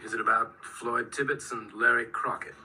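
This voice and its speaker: An actor playing a brisk, wry, no-nonsense physician with a husky voice in a radio drama. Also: male voice, medium pitch, fairly even intonation, sharp tone, slight background noise. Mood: grim, blunt. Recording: distant replayed radio drama.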